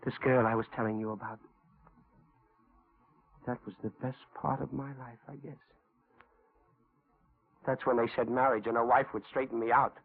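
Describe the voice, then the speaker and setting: An actor playing a calm, brooding escaped killer with quiet menace in a 1940s radio thriller. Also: male voice, mid-range voice, moderate pace, long pauses, husky. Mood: wistful, brooding. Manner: quietly menacing, matter-of-fact. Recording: tinny 1940s radio recording.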